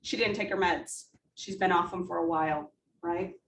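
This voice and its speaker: A woman's voice: lowish voice, steady tone, moderate pace, a little hiss or hum. Woman nursing instructor, big emphatic voice, roomy echo, distant mic, faint ambience. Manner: emphatic, coaching, rhetorical questions. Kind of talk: simulation debrief. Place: simulation room. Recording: echoey room, heard from a distance.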